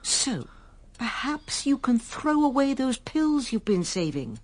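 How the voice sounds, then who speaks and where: Composed, perceptive older sister. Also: female voice, high-pitched, sing-song, slow, exaggerated voice. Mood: composed, concerned. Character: calm, perceptive older sister, gently protective. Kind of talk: radio drama acting.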